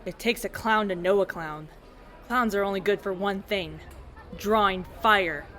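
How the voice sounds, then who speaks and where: A woman's voice: medium pitch, unhurried, fairly bright tone, a little hiss or hum, lightly acted. Woman playing a tough, hostile sheriff. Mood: scornful. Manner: aggressive, curt. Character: hostile, suspicious, tough female wasteland sheriff. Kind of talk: audio drama acting.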